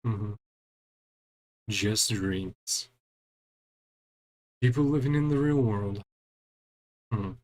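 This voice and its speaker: A man's voice: on the low side, drawn-out words, pauses often, a little mellow. A man giving casual commentary on a game as he plays, musing aloud and reading bits of dialogue. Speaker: gaming streamer.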